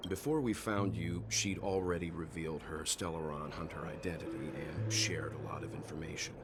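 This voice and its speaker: An actor playing a calm, reflective gentleman advisor in a video game, speaking in a measured, articulate way. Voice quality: very deep. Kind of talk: video game story dialogue. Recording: loud game music behind voice. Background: loud music.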